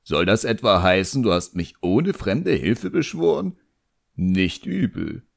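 A man voicing a cocky, talkative djinn in an audiobook, mocking and smooth-talking. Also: sits low, sing-song, measured pace, slight background noise, full character voice. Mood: flattering. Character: cocky, sarcastic, chatty ancient djinn, teasing and flattering.

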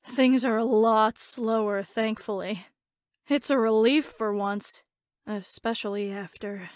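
Woman playing a higher-voiced, considerate young friend. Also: slow pace, dry recording, clean studio sound. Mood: casual. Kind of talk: visual novel voice acting.

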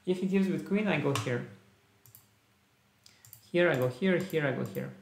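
A young man with a slight Russian-tinged accent talks through ideas in short clipped bursts, his fairly high voice carrying a gravelly edge. He sounds like a casual live streamer in a small room, and the room's echo comes through his webcam-distance mic. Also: normal speed, slight background noise. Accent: Russian-tinged English. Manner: thinks aloud in short clipped phrases. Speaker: chess streamer. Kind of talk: casual live-stream chat while solving puzzles.

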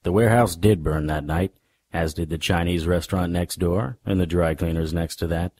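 A man playing a cocky, world-weary paranormal investigator, narrating a noir radio drama in a wry, deadpan way. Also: low-pitched, moderate pace, no room sound, studio quality. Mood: wry, cool.

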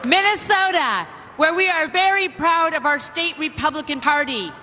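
A delegation spokesperson proudly shouting a roll-call vote announcement. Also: sits high, normal speed, slight background noise. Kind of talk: proclaimed roll-call speech. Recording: roaring convention floor.

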